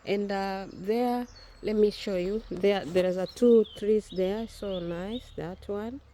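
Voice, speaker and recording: A woman's voice: medium pitch, takes it slowly, dark tone, slight background noise. A woman whose first language is Swahili, chatting casually in a vlog as she films her garden outdoors on a phone, often restarting her phrases. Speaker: vlogger. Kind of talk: casual walk-and-talk vlog.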